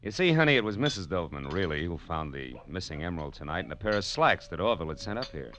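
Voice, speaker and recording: A man's voice: low-pitched, sing-song, quick pace, a bit bright. A man playing a wry, hardboiled private detective in 1940s radio drama style. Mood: wry, casual. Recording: dull vintage radio recording.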